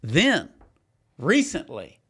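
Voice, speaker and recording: A man's voice: on the high side, huge pitch swings, very slow, fairly bright tone, slightly rough. A middle-aged man co-hosting a podcast, telling stories and teasing in casual, emphatic, filler-heavy banter. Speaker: podcast co-host.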